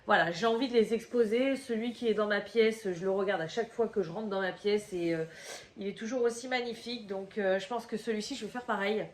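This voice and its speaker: A woman's voice: mid-range voice, brisk, reverberant. A woman with a slight cold, chatting casually to her camera at a table at home, rambling with many fillers and restarts. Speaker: crafting vlogger. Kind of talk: casual chatty video monologue. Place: room at home, table in front. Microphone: camera at table, head out of frame.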